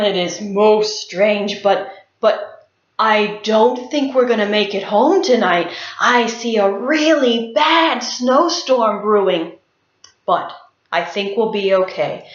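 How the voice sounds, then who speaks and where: A woman voicing a calm, reassuring father in a folk tale, with measured delivery. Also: medium pitch, lightly acted. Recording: roomy, slightly dull sound.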